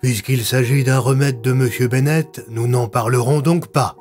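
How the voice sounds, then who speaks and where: Man playing a veteran hospital doctor, medium pitch. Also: fairly even intonation, normal speed, darkish tone, soft background music, lightly acted. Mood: discreet. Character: astonished, discreet veteran male hospital doctor.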